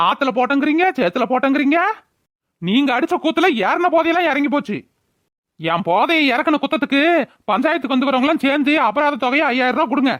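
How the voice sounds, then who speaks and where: A woman's voice: high-pitched, a bit bright, dry recording, lo-fi sound. A woman voicing a brazen, drunken village man in a cartoon, argumentative and blustering, with a rural Tamil Nadu accent. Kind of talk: cartoon village drama voice-over.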